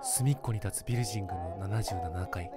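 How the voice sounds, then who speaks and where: A man's voice: deep voice, a little fast. Man in a radio drama over music. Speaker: radio drama actor and narrator. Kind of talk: comic radio drama acting and narration.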